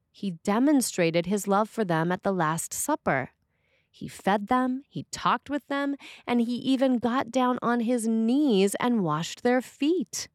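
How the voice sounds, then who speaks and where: A woman reading a scripted sermon as a preacher, with measured, deliberate phrasing. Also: on the high side, darkish tone, no room sound, studio quality.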